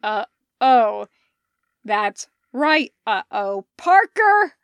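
A woman voicing a gruff, exasperated man with an emphatic, grumbling manner in a story reading. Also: a little high, very animated, drawn-out words, a bit bright, no room sound, highs cut off, full character voice. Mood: angry. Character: gruff, exasperated man, scowling but soft-hearted.

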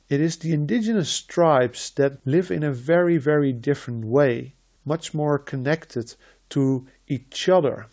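A man from the Netherlands, a shaman hosting a podcast, speaking in a slow, measured, reflective way with repeated phrases. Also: mid-range voice, a little mellow. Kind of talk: slow reflective spiritual talk.

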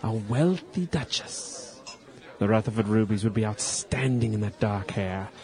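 An actor playing a smug, predatory aristocratic English suitor in a radio drama, with an oily, insinuating manner. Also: male voice, lowish voice, expressive, a little slow, darkish tone. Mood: menacing, pressing.

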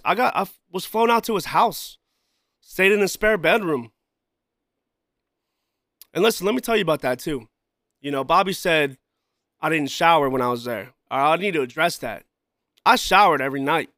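Male solo podcast host, slightly high voice, loose rambling delivery, keeps coughing and clearing his throat, dry close mic. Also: pushed and bright, no room sound. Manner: loose, rambling, repeats himself, frequent "you know" and "man". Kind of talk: casual solo podcast talk.

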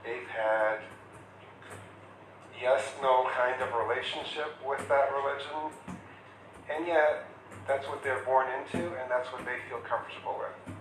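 A man's voice: medium pitch, fairly even intonation, a little slow, pauses often, fairly bright tone, slight background noise. Man, instructor, conversational lecture, roomy hall echo, distant mic. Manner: conversational, acts out client questions. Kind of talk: classroom lecture.

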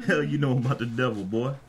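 A man's voice: medium pitch, big pitch swings, normal speed, natural voice. A man playing a sinister, haunted old bluesman, at a screenplay table read. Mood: menacing.

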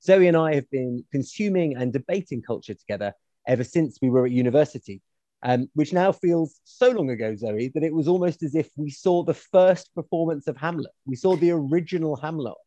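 A man's voice: fairly high pitch, animated, fairly quick, warm tone, no echo, muffled recording. A middle-aged man co-hosting a casual critical discussion in an erudite, opinionated way. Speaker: podcast co-host, historian.

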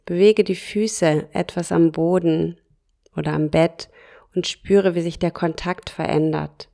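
Slow, calm woman guiding yoga nidra. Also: fairly low pitch, gravelly. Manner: slow, soft and calm, with pauses between short phrases. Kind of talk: guided relaxation meditation.

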